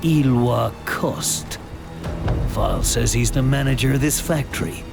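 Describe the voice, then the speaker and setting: Gravelly commando, loud music. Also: male voice, mid-range voice, a little slow. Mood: dry, menacing. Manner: terse, wry, muttering to himself. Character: gritty, determined British special operative in wartime.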